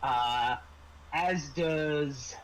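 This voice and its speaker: A man narrating a tabletop roleplaying game as game master, in a halting, improvised way. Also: on the high side, a bit bright, a little hiss or hum, poor audio.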